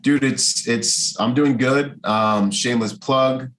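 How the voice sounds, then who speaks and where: A man's voice: medium pitch, steady tone, takes it slowly, a bit bright, rough recording. An American man hosting a podcast in casual, slangy, buddy-like but earnest conversation. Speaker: podcast host and trainer.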